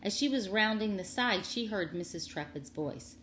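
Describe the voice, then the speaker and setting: A woman narrating a storybook aloud in an expressive reading style. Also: mid-range voice, normal speed. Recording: echoey room, faint background noise.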